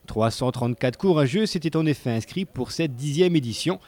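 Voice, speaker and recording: A man's voice: a little high, expressive, a little fast, darkish tone. A man narrating a scripted local TV report in a playful, tongue-in-cheek manner.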